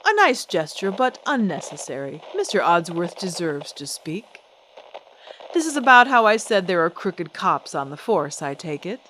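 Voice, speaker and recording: Actress as a low-voiced, poised starlet. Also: female voice, very animated, normal speed, a bit bright, a little hiss or hum, poor audio. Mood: firm, dignified. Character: poised, vengeful female singing celebrity, coolly defiant. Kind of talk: old-time radio drama acting.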